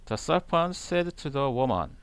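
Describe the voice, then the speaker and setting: A man reading scripture aloud in a deliberate manner, pausing between short, halting phrases. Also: mid-range voice, big pitch swings, clear, resonant tone. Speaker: channel host reading the Bible aloud. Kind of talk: slow scripture read-aloud.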